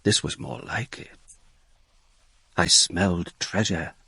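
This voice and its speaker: An actor playing a world-weary, middle-aged police inspector, narrating his case in a measured, dry, reflective radio drama style. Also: deep voice, gravelly, bright, forceful tone, husky, dry recording, full character voice. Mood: brooding, observant. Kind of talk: radio drama, first-person detective narration.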